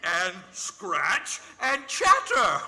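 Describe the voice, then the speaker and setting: An actor playing a kindly, playful old Santa-like gentleman in a radio play, encouraging and chuckling, with hesitant, grandfatherly delivery. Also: high voice, huge pitch swings, drawn-out words, very noisy, exaggerated voice. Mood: playful, delighted. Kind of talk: theatrical live radio-play acting.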